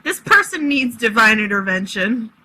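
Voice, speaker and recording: A woman's voice: on the high side, sing-song, normal speed, background noise. A woman co-hosting in casual comedic banter, speaking in an insistent way. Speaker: co-host.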